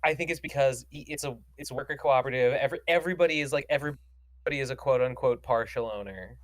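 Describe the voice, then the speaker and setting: A man's voice: medium pitch, fast, dry recording. A man guesting on a casual podcast, sarcastic and profane with a mock-indignant edge.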